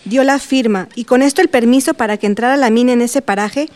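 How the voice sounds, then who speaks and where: An actress plays a professional woman lawyer in a radio drama. Her voice is fairly high, and she explains each point in a composed, formal, measured way. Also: a little mellow, close to an everyday voice. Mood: serious.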